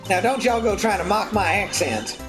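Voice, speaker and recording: Folksy, boastful young Southern woman for a mystery game, played by a woman; animated intonation, easily offended, music behind. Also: mid-range voice, normal speed, natural voice. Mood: sassy, defiant. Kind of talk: voiced read-through of a mystery game.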